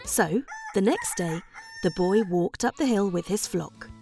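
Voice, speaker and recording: A woman narrating a scripted children's bedtime story with expressive, deliberate phrasing. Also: medium pitch, gravelly, over music.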